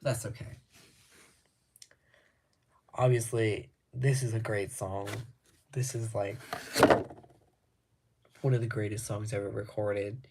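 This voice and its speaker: A man talking slowly and casually while showing his records, with a slightly raspy voice. He rambles, stops to restart his thoughts, and adds lots of ums. There is clear background noise with clicks behind him. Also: lowish voice, flat delivery, warm tone. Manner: laid-back, rambling, many ums and restarts. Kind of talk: casual talk to camera.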